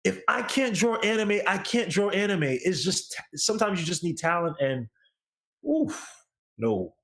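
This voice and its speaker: An American man chatting casually as a music review co-host, animated, slangy and prone to swearing. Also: sits high, measured pace, gravelly.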